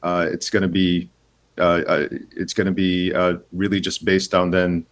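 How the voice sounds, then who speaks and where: A low-voiced man, the head of a game studio, walks through his game in a relaxed interview. He stops and starts often, filling the gaps with "um" and "uh" as he gathers his thoughts. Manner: hesitant, many "um" and "uh" pauses and restarts. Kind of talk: casual interview, explaining a game.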